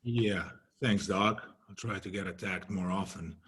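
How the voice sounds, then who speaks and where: A man's voice: deep voice, unhurried, fairly bright tone, slightly rough, exaggerated voice. A man voicing a sardonic, dangerous, unrepentant prisoner in a drama read, dripping with sarcasm. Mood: sarcastic. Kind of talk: scripted drama table read.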